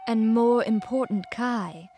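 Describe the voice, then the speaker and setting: A woman playing a poised, earnest noblewoman in a video game, speaking in a composed, measured and refined way. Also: fairly high pitch, warm tone, slight background noise. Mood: confiding, grave. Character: poised, earnest noblewoman who briefs a naval commander. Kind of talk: dramatic game cutscene acting.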